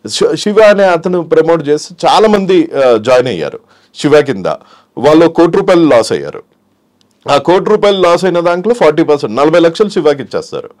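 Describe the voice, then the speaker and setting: A man's voice: a little high, big pitch swings, a little fast, darkish tone. A man with a Telangana Telugu accent speaking as an interview guest in casual, opinionated talk, repeating points for emphasis and mixing in English phrases.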